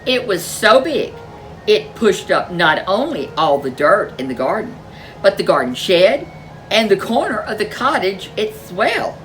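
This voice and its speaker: Animated woman storyteller. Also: medium pitch, unhurried, soft background music, low-quality recording. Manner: expressive, animated. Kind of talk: storybook read-aloud narration.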